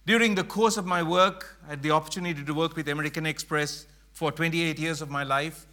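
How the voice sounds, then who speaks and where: A middle-aged man from Sri Lanka speaks to a church gathering in an earnest, emphatic way. His voice sits high and sounds bright and forceful. He slows down and leans on key phrases. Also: fairly even intonation. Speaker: mission speaker. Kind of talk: church presentation.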